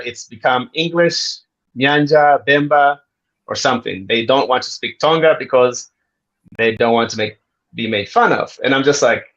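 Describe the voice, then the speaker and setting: Brief: friendly Zambian man in his forties, easygoing storyteller, mid-pitched voice, dull lo-fi sound. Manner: relaxed, friendly storytelling with asides. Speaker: guest. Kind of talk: casual interview chat.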